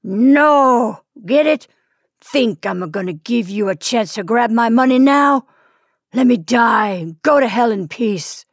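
A bitter dying man snaps out scornful refusals, loud and gruff, as a woman reader puts him on. The voice is close and dry, with no room sound. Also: fairly high pitch, lively intonation, takes it slowly, no room sound, heavily acted.

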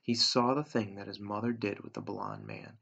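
A man in his thirties with a gravelly, husky voice reads a story aloud, recorded on a webcam in a room at home. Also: on the low side, fairly even intonation, moderate pace, a bit bright. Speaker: teacher reading aloud. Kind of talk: storybook read-aloud narration. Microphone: computer webcam at arm's length. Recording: dull lo-fi webcam sound.

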